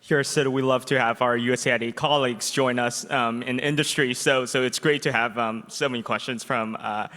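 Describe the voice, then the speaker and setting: A man with a bright, forceful tone moderates casually. He sounds set back from the mic. Long hall reverb surrounds him. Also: medium pitch, fairly even intonation, a little fast, few pauses. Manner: casual, upbeat. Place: large conference hall.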